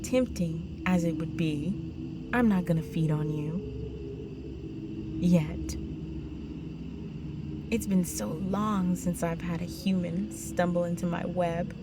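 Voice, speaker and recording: A woman voicing a seductive, possessive vampire countess in an intimate roleplay audio drama, slow and coaxing, often slipping into breathy whispers. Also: lowish voice. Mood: amused, menacing. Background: music.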